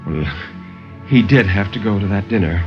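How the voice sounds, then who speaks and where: A man voicing a gentle middle-aged wife and mother, feeble and hesitant, in 1950s radio horror storytelling. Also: lowish voice, normal speed, faint music behind, lightly acted. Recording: muffled 1950s radio broadcast.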